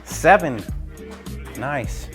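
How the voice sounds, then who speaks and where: A man's voice: fairly high pitch, animated, drawn-out words, music in the background. A man coaching a home exercise game as a gym teacher, casual, encouraging and instructive, speaking indoors.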